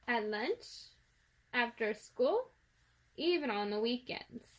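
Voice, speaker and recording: A woman reading a children's picture book aloud in an expressive storytelling manner. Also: fairly high pitch, takes it slowly. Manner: expressive, acts out sound words and dialogue.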